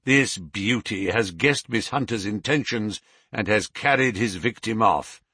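A man voicing a keen, commanding male detective in a storybook, with brisk, formal, authoritative phrasing. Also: on the low side, pushed and bright, slightly rough, no room sound, studio quality, heavily acted. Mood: decisive.

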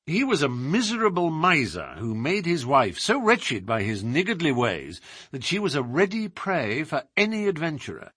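Man acting a brilliant gentleman detective, theatrical, precise, bright forceful voice, swooping pitch, audiobook. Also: wildly swooping pitch, moderate pace, breathy edge, no room sound, studio quality, full character voice. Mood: expository, confident. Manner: precise, theatrical, formal explaining. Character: brilliant, self-assured gentleman detective, lecturing.

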